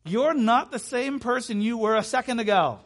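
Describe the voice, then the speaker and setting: A man's voice: very high voice, expressive, normal speed. A man in his thirties preaching a sermon in an emphatic way, repeating key phrases and slipping into conversational asides. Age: 30s.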